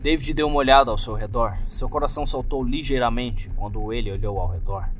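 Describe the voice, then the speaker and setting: A man's voice: medium pitch, brisk, fairly bright tone, a little hiss or hum. A man with a Brazilian accent reading a scripted horror story as a dramatic narrator. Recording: music bed behind the voice. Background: background music.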